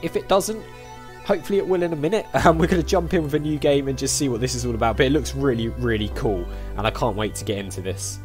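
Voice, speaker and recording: A man gives casual let's play commentary. His voice is mid-pitched and chatty, reacting aloud as he goes, with faint game music behind. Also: fast, a little mellow. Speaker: gaming video host.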